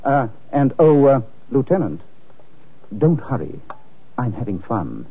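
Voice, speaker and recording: Wry, unflappable gentleman detective, 1940s radio style, husky tone, lo-fi vintage sound. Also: mid-range voice, very animated, measured pace, heavily acted. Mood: amused, assured. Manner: dry, ironic, nonchalant, hesitates with polite uhs. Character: suave, wry, unflappable male amateur detective. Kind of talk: 1940s radio drama acting. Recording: dull, lo-fi old radio recording.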